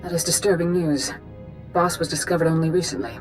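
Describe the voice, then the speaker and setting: A solemn, authoritative mentor played by an actor in a video game. The voice is middling in pitch, formal and commanding, with faint music behind. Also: brisk, lightly acted, low-quality recording. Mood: grave, commanding. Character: grave, authoritative Jedi master, commanding mentor.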